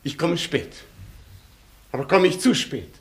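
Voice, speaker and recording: Grandly theatrical Polish colonel, swooping voice. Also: male voice, a little high, big pitch swings, a little slow. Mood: ardent, gallant. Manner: grandiloquent, imperious, broken formal syntax. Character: proud, gallant, theatrical Polish aristocrat colonel. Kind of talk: German radio play acting.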